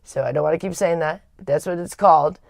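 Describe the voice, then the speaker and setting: A woman with a low, raspy voice and a gravelly edge. She talks candidly and wanders as she tells her story, often stopping to restart a sentence. The sound is dry and close. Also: quick pace, no echo. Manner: rambling and confiding, with frequent restarts. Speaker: livestream host.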